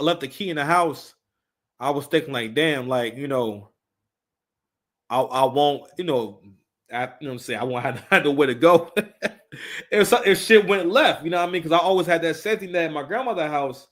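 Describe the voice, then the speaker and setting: Higher-pitched man in his thirties, emphatic. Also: fairly bright tone. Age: 30s. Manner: emphatic, repeats phrases, frequent swearing. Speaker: live stream host giving commentary. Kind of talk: casual, unscripted opinionated commentary.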